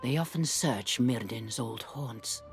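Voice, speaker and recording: A woman's voice: very deep voice, slow pace, slightly rough, soft background music, full character voice. An actress playing a brash, impatient female scholar in a video game, speaking in a theatrical, haughty, emphatic way. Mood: haughty, measured. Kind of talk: video game character dialogue.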